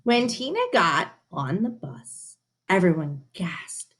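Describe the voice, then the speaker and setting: A woman reading a children's story aloud in an expressive, emphatic, teacherly way, with asides to her listeners. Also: fairly low pitch, slow. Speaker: storybook reader.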